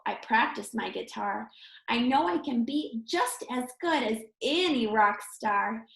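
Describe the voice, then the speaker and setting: A woman reading a picture book aloud to children like a teacher, expressive and emphatic, speaking directly to her young listeners. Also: sits high. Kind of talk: children's read-aloud with encouraging asides. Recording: roomy, echoing indoor sound.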